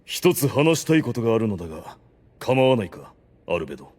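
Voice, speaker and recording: A male actor playing a solemn, benevolent undead ruler in an anime audio drama, speaking in grand, formal, measured phrases. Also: lowish voice, sing-song, a little mellow, slightly rough, no echo. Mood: formal, resolute.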